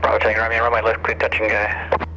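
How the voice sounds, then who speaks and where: A man working as an air traffic controller, giving clipped clearances over aviation radio. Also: fairly low pitch, completely flat delivery, quick pace. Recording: muffled noisy aviation radio transmission.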